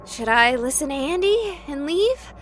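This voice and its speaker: A woman playing an excitable, jokey young streamer in a haunted hospital video game, expressive and rambling. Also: sits high, unhurried, slight background noise, full character voice. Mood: nervous, joking. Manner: expressive, rambling, wisecracking to an unseen audience.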